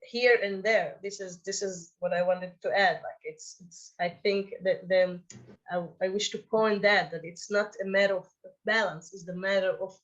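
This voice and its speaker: A woman speaks in a middle-pitched voice, halting and repeating small words. The audio sounds slightly dull and lo-fi. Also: fairly even intonation, a bit bright. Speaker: director on a festival panel. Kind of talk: Q&A answer.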